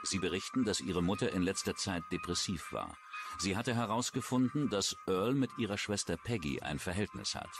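A man's voice: sits low, animated, normal speed, music in the background. A man reading scripted true-crime documentary narration.

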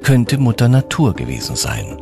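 A man's voice: mid-range voice, wildly swooping pitch, moderate pace. A man reading scripted documentary narration with dramatic storytelling delivery. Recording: music behind the voice. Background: background music.

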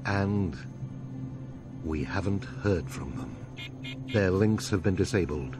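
A man playing a stern, commanding leader of a secret agency in a game cutscene, measured and authoritative. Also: low voice, lively intonation, over loud music, full character voice. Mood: grave briefing.

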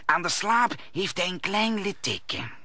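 High-voiced, stammering, nervous landlord. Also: male voice, lively intonation, pushed and bright, dry recording, exaggerated voice. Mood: agitated, stammering. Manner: stammering, halting, agitated. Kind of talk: Dutch radio play acting.

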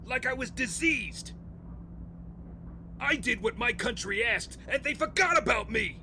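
A man acts out a paranoid, tormented veteran in an audio drama. His voice is high and gravelly, slow and halting even as he rants in agitation. There is clear noise behind him. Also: heavily acted. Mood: anguished, bitter. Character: paranoid, anguished war veteran holding children hostage.

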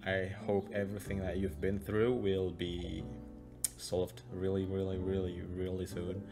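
Soft-spoken low young man, guitar behind. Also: completely flat delivery, measured pace. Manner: gentle, reassuring, repeats words. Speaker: video chat streamer. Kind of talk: friendly video chat with a stranger. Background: guitar music.

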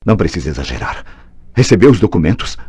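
An agitated, resentful male professor from a video game. His husky voice swings widely in pitch, and the sound is very dry. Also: sing-song, fast, darkish tone, no echo, full character voice. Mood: wary. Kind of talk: dubbed video game acting.

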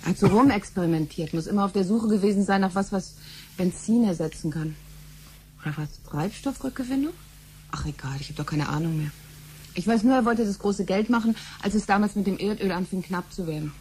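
A woman's voice: mid-range voice, a little fast, a little mellow, lightly acted. A woman acting a sceptical, placating wife and mother in a 1970s German radio drama, with a dry, colloquial and reluctant delivery. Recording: slightly noisy 1970s radio recording with hum.

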